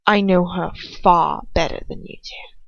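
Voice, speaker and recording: A woman voicing a cold, possessive male villain in an audiobook, murmuring slowly with mocking condescension. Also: high voice, dry recording, dull audio, heavily acted. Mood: malicious. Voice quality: hushed, murmuring, breathy whisper. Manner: slow, malicious, condescending.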